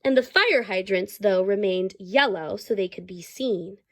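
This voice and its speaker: A woman reading a children's story aloud expressively, like a teacher, pausing to explain and ask the young listeners questions. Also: mid-range voice.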